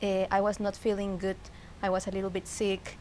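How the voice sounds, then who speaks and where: A woman's voice: mid-range voice, completely flat delivery, brisk, darkish tone. Peruvian-accented woman, reflective, with background noise. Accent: Peruvian Spanish. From Peru. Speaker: interview guest. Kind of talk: casual personal conversation. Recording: clear background noise, ambience.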